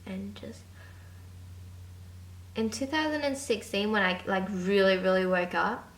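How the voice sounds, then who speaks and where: A woman's voice: mid-range voice, lively intonation, measured pace, roomy sound. An eighteen-year-old woman rambling candidly in an unscripted vlog from her bedroom, swearing freely and trailing off. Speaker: vlogger.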